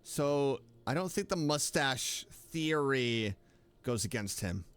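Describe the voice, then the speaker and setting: A man's voice: medium pitch, animated, slow pace, background noise. A man giving casual, playful VTuber gameplay commentary, exclaiming and repeating himself as he plays. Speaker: VTuber game streamer. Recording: game music playing behind. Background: video game music.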